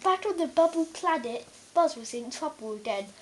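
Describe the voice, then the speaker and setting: A woman reading a children's bedtime story aloud, haltingly, stumbling over words and correcting herself. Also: sits high, lively intonation, slight background noise. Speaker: storybook reader.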